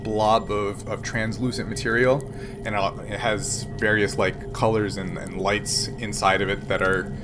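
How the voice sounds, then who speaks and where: A man's voice: on the low side, unhurried, music in the background. A man narrating as game master of a tabletop role-playing game, with loose, filler-heavy description. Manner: relaxed, rambling narration with many fillers.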